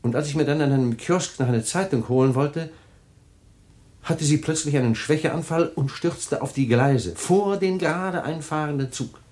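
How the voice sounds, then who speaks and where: A man's voice: a little high, moderate pace, darkish tone, lightly acted. An actor in a German radio drama playing a wealthy, evasive husband who soothes and dismisses his wife. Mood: pained. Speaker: husband James.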